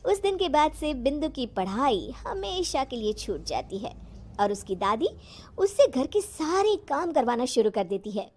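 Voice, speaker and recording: High-pitched woman narrating a moral story. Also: sing-song, a little slow, a little mellow.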